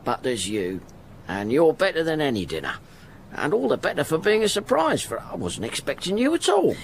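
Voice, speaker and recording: A man's voice: a little high, huge pitch swings, moderate pace, gravelly, slight background noise, exaggerated voice. An actor playing a poor, humble middle-aged porter and devoted father in a radio drama, rambling in folksy working-class speech. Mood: tender, surprised. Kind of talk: radio drama acting, first-person monologue.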